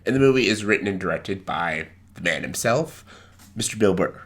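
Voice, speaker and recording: Stuffed-up guy with a cold doing a casual movie rant to camera, low-ish voice, kinda bright and forceful. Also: lively intonation. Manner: blunt and ranting, with quick asides. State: congested with a cold. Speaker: movie reviewer. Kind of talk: casual spoken movie review.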